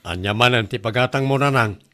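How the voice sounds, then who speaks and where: A man playing a simple, excitable middle-aged bachelor in an Ilocano radio comedy drama, with an Ilocano accent. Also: medium pitch, a little fast, natural voice. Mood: exasperated.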